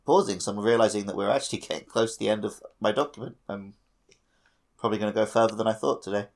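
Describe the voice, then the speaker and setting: A man chats informally about a video game as a podcast co-host. His voice is on the low side, with plenty of gravelly fry in it. The delivery is conversational and unscripted. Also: quick pace. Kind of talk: casual gaming chat.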